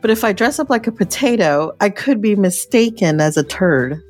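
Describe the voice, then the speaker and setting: A woman's voice: medium pitch, animated, moderate pace, a little mellow, faint music behind. A young woman co-hosting a podcast, chatting loosely and reading a guest's introduction with giggles. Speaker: podcast co-host. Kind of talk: casual chat.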